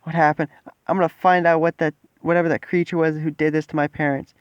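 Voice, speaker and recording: A man's voice: a little high, little pitch movement, fast talker, clean tone, no room sound, lo-fi sound, voice pushed up, lightly acted. Man playing a pleading, frightened teenage boy. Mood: distraught. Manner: pleading, muted, repeating himself. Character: frightened, distraught teenage boy in a hero story.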